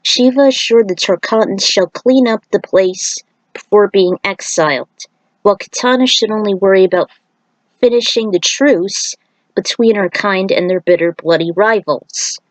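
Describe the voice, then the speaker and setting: Female storyteller, medium pitch, scripted narration, very dry close recording. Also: moderate pace, no room sound, low-quality recording.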